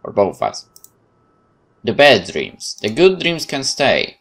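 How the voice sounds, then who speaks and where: A man hosting a let's play, reading narration aloud in a measured, dramatic way with casual commentary. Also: mid-range voice, huge pitch swings, fairly bright tone.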